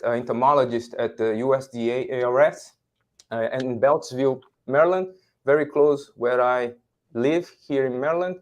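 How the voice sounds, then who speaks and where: A man's voice: medium pitch, a little mellow. A man hosting a livestreamed podcast interview, speaking conversationally to his audience and guest. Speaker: podcast host.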